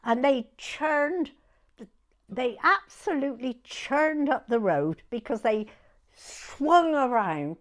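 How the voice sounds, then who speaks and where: A woman in her nineties from Dorset with a southern English accent, reminiscing in an interview in a hesitant, halting way and repeating words. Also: high-pitched, lively intonation. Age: 90s. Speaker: oral history interviewee.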